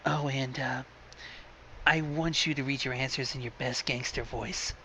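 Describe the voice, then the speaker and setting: A man voicing a meek, hesitant teenage girl in a fan fiction reading, timid and quiet. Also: medium pitch, fairly even intonation, unhurried, a little hiss or hum.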